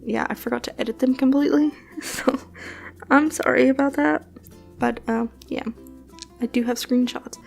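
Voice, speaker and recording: A young woman talking casually over gameplay as a channel host, rambling with many fillers and soft laughs, a bit sniffly from allergies. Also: a little high, creaky. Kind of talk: casual voice-over commentary on a building game.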